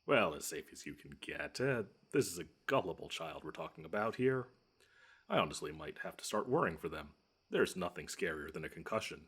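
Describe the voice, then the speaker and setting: A man plays a monster who lurks under a child's bed, in a deep, husky voice. He is grumpy and pompous, choosing fussy words and stretching out his threats. The delivery is a scripted audio roleplay with dry sarcasm. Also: very animated, a bit bright, exaggerated voice. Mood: dry, scheming. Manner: pompous, wordy, drawn-out menace with dry sarcasm.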